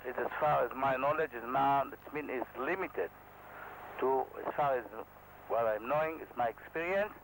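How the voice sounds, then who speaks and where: An Israeli helicopter instructor speaks to camera in halting, non-native English with a modest, self-deprecating manner. His voice sits at an ordinary middle pitch, and a faint noise runs under it. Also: gravelly, rough recording. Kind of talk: candid talk to camera.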